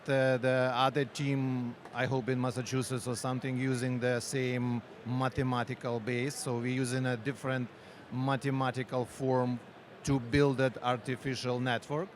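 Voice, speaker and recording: Man, Ukrainian accent, mid-pitched voice, hesitant phrasing, faint outdoor vehicle noise. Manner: halting non-native English, frequent pauses and fillers. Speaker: company founder and researcher. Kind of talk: conference interview.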